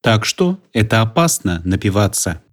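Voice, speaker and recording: A man teaching a language course, giving calm, methodical instructions and prompting translations. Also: on the low side, measured pace. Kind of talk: instructional explanation.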